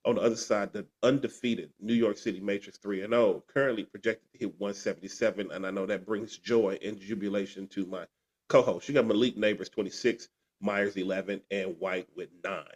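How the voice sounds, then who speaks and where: A man's voice: lowish voice, steady tone, a little fast. Congested man recapping fantasy football. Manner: rambling, restarts and "uh" fillers. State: sick, congested. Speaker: podcast co-host.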